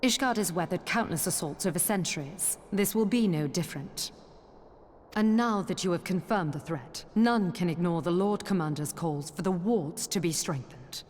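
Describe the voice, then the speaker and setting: A woman's voice: lowish voice, moderate pace, a little mellow, slight background noise, lightly acted. A woman playing a grave, devout noblewoman knight in a fantasy game, speaking formally with archaic phrasing in a long hall reverb. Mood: solemn resolve. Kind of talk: scripted fantasy game cutscene acting.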